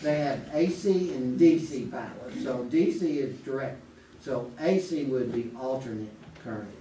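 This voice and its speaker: A man's voice: medium pitch, normal speed, lightly acted. A man playing a smug, wisecracking husband who is a contestant in a comic radio game show on stage. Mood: musing. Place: live stage performance in a small theater. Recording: echoey, distant, noisy theater recording.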